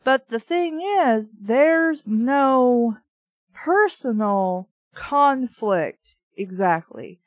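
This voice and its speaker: A woman with a somewhat high voice gives casual, critical book commentary in a dry recording. She takes her time, speaking at a slow pace without a script. Her manner is blunt and opinionated, with loose, sweary wording. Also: lively intonation, no echo. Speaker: book reviewer, host.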